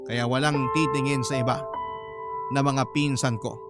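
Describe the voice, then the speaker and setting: A man's voice: mid-range voice, flat delivery, moderate pace. A man narrating a radio drama, reading a first-person letter in an emotive storytelling style. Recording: loud music bed under voice. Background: loud background music.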